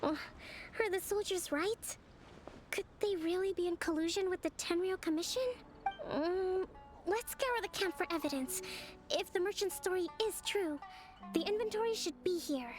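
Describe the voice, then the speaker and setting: A young female tactician from a video game with a high, gentle voice. She speaks in composed, formal, thoughtful sentences, and music plays clearly behind her. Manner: measured, formal, thoughtful phrasing. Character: gentle, composed young woman military strategist. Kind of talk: scripted video game character acting. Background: background music.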